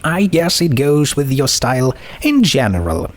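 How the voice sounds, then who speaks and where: A man's voice: mid-range voice, big pitch swings, a little slow, no echo, pitched above the performer's own voice. A man voicing a bookish, inquisitive teenage girl in a storybook, speaking in an articulate, analytical way. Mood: playful.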